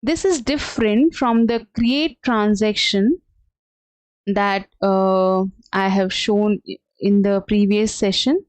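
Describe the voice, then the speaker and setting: A woman giving an unscripted software tutorial as a trainer, explaining step by step in a halting, hesitant way. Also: medium pitch, clean tone, no room sound. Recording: dull, lo-fi, dry close sound.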